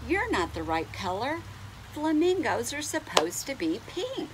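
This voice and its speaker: Fussy scolding flamingo, voiced by a woman, swooping pitch. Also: big pitch swings, a little slow, noisy background. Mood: shocked, scolding. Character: scolding, fussy flock of young flamingo friends.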